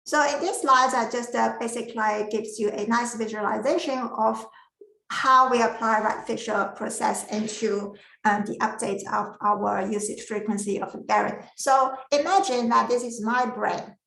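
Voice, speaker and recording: A woman whose first language is not English, giving an academic masterclass presentation with careful explanations and frequent pauses. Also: a little high, gravelly, a bit bright, roomy sound, rough recording. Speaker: lecturer.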